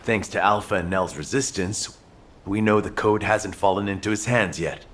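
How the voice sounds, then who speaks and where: Game cutscene lead: remorseful, resolute male commander played by an actor, lowish voice, grave and deliberate. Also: fairly bright tone, slight background noise, natural voice. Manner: solemn, measured, resolute. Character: earnest, remorseful male commander in a sci-fi war. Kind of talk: video game cutscene acting.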